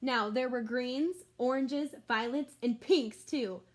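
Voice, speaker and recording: A woman's voice: high voice, slow pace. A woman reading a children's book aloud like a teacher, expressively, with exclamations and simple explanatory asides for young listeners.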